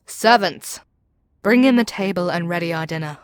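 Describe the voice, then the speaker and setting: A woman's voice: on the low side, moderate pace, close to an everyday voice. A woman playing a proud, seductive demon queen in an ASMR audio roleplay, speaking in an imperious, persuasive, theatrical way. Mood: commanding, taunting. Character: proud, seductive demon queen brainwashing her captive hero.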